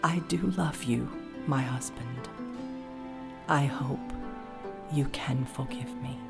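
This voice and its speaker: An actress playing a solemn, resolute veiled wise woman and queen in an audio drama, speaking in measured, formal oratory. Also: extremely low pitch, gravelly, exaggerated voice. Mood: tender, sorrowful. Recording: long reverberant hall, music underneath.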